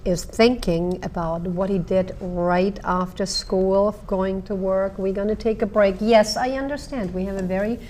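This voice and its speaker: A woman hosting a television interview, asking slow, deliberate questions in a chatty manner. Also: medium pitch, fairly even intonation, darkish tone, slight background noise. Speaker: talk show host. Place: seaside inn.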